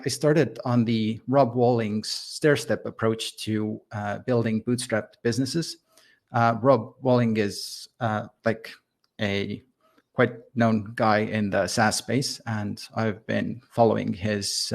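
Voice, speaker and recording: A man's voice: on the low side, vocal fry. A man from Estonia with a light Estonian accent, a guest explaining his work step by step in a casual interview. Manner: thoughtful, explains step by step with fillers. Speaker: guest, software founder.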